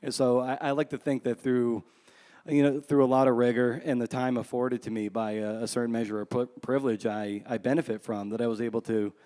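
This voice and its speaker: A man's voice: medium pitch, level tone, brisk, mellow. A man being interviewed as an author at a live event, talking in a conversational, self-deprecating and rambling way. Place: large room at a live book event. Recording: echoey hall with long reverb.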